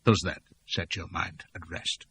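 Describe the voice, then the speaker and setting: A man voicing a cold, menacing slave-ship captain in an audiobook, speaking slowly and quietly with quiet command. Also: low voice, fairly even intonation, slight background noise. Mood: lecturing. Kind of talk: audiobook character voice.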